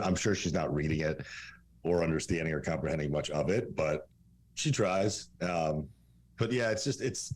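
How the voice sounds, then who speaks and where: A man's voice: very deep voice, a little mellow, slight background noise. A man in his forties from Russia, whose first language is Russian, speaking as a coach in a candid podcast interview, blunt and profane, rambling with fillers. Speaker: fitness coach and guest.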